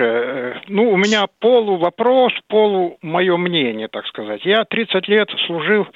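An eighty-year-old man calling a radio show by telephone, talking haltingly and often repeating himself as he hesitates. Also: on the high side, creaky, no echo. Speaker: radio show caller, retired military transport officer. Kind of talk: hesitant phone-in talk. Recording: narrow, dull telephone line on radio.

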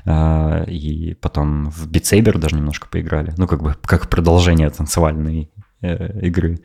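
Deep-voiced young guy on a podcast, rambly, lots of ums and restarts. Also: little pitch movement, normal speed, vocal fry, dark tone. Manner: rambling, with frequent hesitations and restarts. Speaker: podcast co-host. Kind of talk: casual chat.

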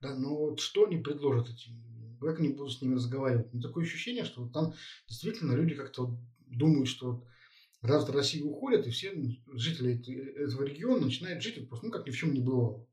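A man talking quickly and pressing questions in a casual political conversation, with filler words all through. He sits back from the mic, and the room gives his voice an echo. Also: mid-range voice, expressive, a little mellow. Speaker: co-host. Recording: echoey, a bit far from the mic.

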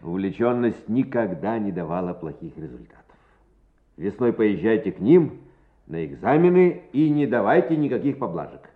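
An authoritative grown man, indulgent and very animated, in an old radio play. The sound is thin, tinny and echoey. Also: lowish voice, moderate pace. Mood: reassuring. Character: authoritative adult official or school director, indulgent. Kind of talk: Soviet radio play acting.

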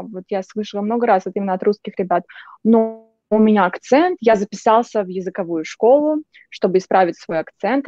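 A young woman from Russia talks as a guest in a relaxed interview. She tells chatty stories with many restarts, in a warm, mellow voice. The recording is dull and dry. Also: medium pitch, no echo. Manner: chatty, anecdotal, frequent restarts. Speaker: guest, journalist. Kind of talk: casual interview chat.

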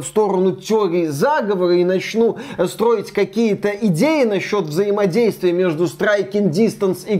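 A man with a clearly high-pitched voice co-hosts a gaming talk show. He comments in a conversational, opinionated way, placing emphasis deliberately and keeping his wording colloquial. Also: a little mellow. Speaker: co-host of a video game news podcast.

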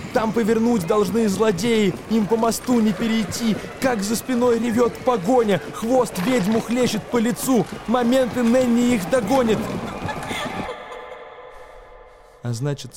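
An actor playing an excitable storyteller who relishes a lurid witches' tale, reciting emphatic, theatrical verse for a radio play. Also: extremely high pitch, background noise. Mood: frantic urgency. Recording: music behind the voice. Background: music.

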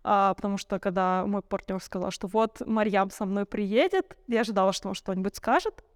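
A young woman with a smooth, clean voice recorded close and dry. She speaks as a podcast guest, candidly sharing personal stories. Her manner is reflective, and she often feels for the right word. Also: medium pitch, fairly quick, no echo. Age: young adult. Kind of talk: candid conversational storytelling.

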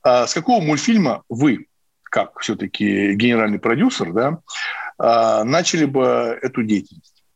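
Brief: male radio interviewer, middle-aged, medium pitch, slightly rough voice, dry studio sound, conversational and probing. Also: sing-song, normal speed, no echo, muffled recording. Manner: conversational, probing, prompts with tag questions. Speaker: radio host.

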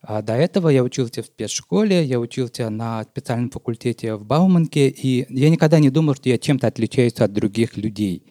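Mellow-voiced man in his thirties. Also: medium pitch, dry recording, clean studio sound. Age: 30s. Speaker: guest. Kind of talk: casual conversation.

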